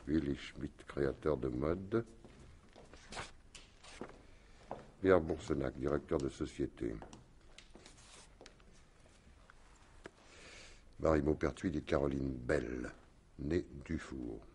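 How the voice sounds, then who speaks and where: A man's voice: very deep voice, unhurried, a little mellow, slight background noise. A man playing a courteous, methodical, slightly weary police commissioner in a radio crime drama, murmuring and repeating short phrases. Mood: somber. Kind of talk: 1950s-style French radio crime drama acting.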